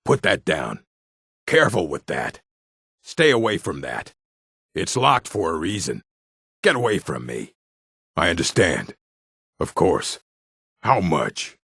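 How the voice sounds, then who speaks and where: An actor playing a gruff, combative male orc townsman in a video game, with a rough, husky voice and a blunt, curt way of speaking. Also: rumbling low, fairly bright tone, dry recording, clean studio sound, heavily acted. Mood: subdued, pleading. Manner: blunt, curt, threatening delivery. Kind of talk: video game character barks.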